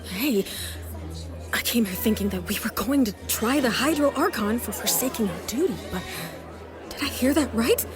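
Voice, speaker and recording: An actress playing a shocked, outspoken courtroom spectator in an anime game. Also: female voice, fairly high pitch, animated, normal speed, music in the background, a crowd in the background, natural voice. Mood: shocked, indignant.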